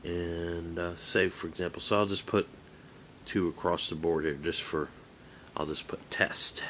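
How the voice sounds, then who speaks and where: Male hobbyist vlogger, low-pitched and forceful in tone, casual walkthrough, meandering and hesitant, faintly noisy recording. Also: fairly even intonation. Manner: halting, rambling, thinking aloud. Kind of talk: casual hands-on app demonstration.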